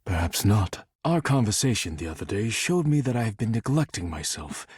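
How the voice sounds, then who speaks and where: A man voicing a serious, proud, formal dish character in a dating sim game, speaking in measured, precise and reserved phrases. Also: fairly low pitch, big pitch swings, darkish tone, breathy edge, dry recording, studio quality, exaggerated voice. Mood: reflective, regretful. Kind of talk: scripted character dialogue.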